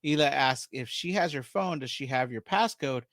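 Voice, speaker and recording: Male podcast host, mid pitch, dry close sound, easygoing plot recap. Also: moderate pace, a bit bright, no echo. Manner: recounts plot scene by scene, laughs often. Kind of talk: casual movie recap chat.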